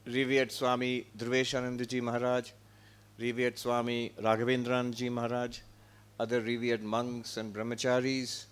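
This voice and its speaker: A man, a monk teaching Vedanta, speaking in a calm, unhurried, gentle manner at a spiritual retreat in an ashram hall. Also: medium pitch, smooth. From India. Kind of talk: spiritual lecture.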